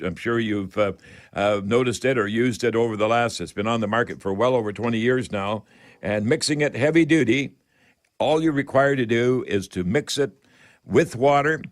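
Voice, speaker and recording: A man hosting a radio call-in show, giving home improvement advice in a halting, folksy, rambling way. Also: mid-range voice. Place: radio studio.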